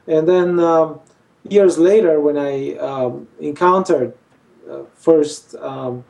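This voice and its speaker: A man's voice: fairly high pitch, slow, vocal fry, darkish tone, recorded from a distance, low-quality recording. A male surgeon from Bulgaria, answering interview questions hesitantly with many fillers. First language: Armenian. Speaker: facial plastic surgeon.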